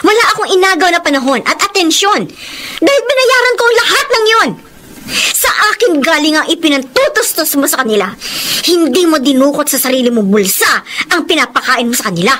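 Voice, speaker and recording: An actress playing an impassioned, defiant mistress who pleads emotionally in a Tagalog radio drama. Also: female voice, high-pitched, very animated, a little fast, sharp tone, exaggerated voice. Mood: defiant, wounded. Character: impassioned mistress defending her love for a married man.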